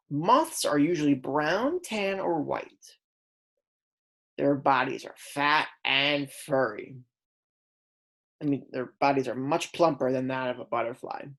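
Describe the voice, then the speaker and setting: A woman's voice: extremely low pitch, unhurried. A woman kindergarten teacher reading aloud a lesson for young children, explaining words simply and prompting her pupils.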